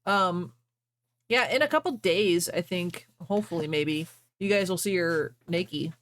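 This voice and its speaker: A woman's voice: fairly low pitch, unhurried, a bit bright. A woman livestreamer chatting casually with viewers and reading fan fiction aloud.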